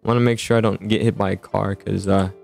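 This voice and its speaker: A man's voice: lowish voice, level tone, quick pace, gravelly, darkish tone. A young man chatting casually in an improvised game roleplay, rambling and repeating his phrases with stammering starts. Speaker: host of a gaming roleplay. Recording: music bed under the voice. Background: background music.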